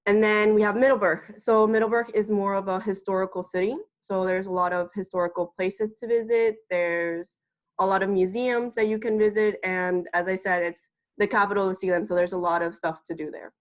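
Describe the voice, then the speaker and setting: An eighteen-year-old woman from the Dominican Republic presenting a webinar as a student, steady and explanatory. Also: mid-range voice, a little fast.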